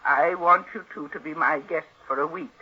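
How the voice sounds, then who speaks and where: An actress playing a shrewd, wealthy old aunt with a dry, imperious manner in a 1940s radio drama. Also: female voice, deep voice, moderate pace, slightly rough. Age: older. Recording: muffled vintage radio drama recording.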